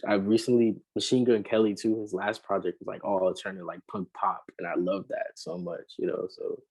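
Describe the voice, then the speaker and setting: A man, a rapper and singer, talking casually in an interview, relaxed and rambling with many fillers. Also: deep voice, brisk, breathy edge, rough recording.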